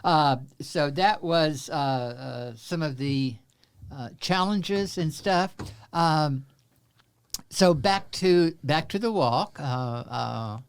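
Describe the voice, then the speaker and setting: Older Texan man, halting and wry. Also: a little high, vocal fry, clean tone. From Central Texas. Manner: halting, many fillers and restarts, wry humor. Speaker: guest. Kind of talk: personal storytelling in an interview.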